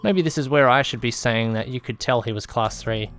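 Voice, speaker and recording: A man's voice: medium pitch, fairly quick, a bit bright. A man reading a scripted first-person story as an audiobook narrator. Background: background music.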